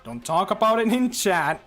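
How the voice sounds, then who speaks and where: A man chatting to his viewers while he plays, loose and rambling, full of "like" and "you know." His voice sits a little high and swings widely in pitch. Faint music plays behind him. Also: fairly bright tone. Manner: rambling, chatty, lots of fillers and restarts. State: throat getting dry. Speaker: live streamer. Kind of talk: casual livestream chat while gaming.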